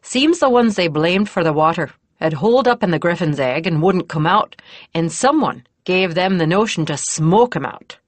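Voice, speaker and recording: A woman's voice: on the low side, animated, moderate pace, no echo. A woman voicing a plodding, dutiful middle-aged male provost marshal with a rustic, deferential, plain-spoken manner. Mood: reporting.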